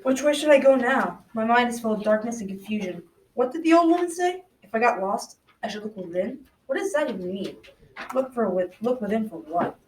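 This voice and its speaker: A woman's voice: a little high, lively intonation, fairly quick, reverberant, recorded from a distance, a little hiss or hum, lightly acted, rough recording. A girl of about twelve or thirteen playing a brave but fearful young prince in a school radio drama, earnestly declaiming the lines. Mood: confused, resolute. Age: child, around 12-13.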